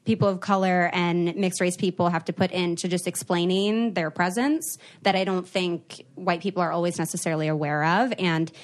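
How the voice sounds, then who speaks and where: A young woman hosting a casual podcast interview, engaged and quick to laugh. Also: fairly low pitch, steady tone. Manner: warm, engaged listener with frequent laughs. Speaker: podcast host.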